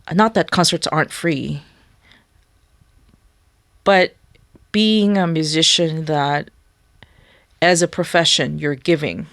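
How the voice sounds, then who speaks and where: A young woman whose first language is Korean, speaking thoughtfully in a studio interview and often pausing to search for words. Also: sits low, creaky, dry recording. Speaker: guest musician. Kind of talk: reflective interview talk.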